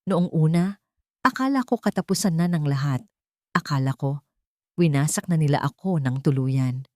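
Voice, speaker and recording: A woman narrator voicing a tender, loving young mother talking softly to her newborn in a story. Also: sits low, normal speed, no echo, studio quality, natural voice. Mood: tender, hopeful. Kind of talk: storybook-style drama narration.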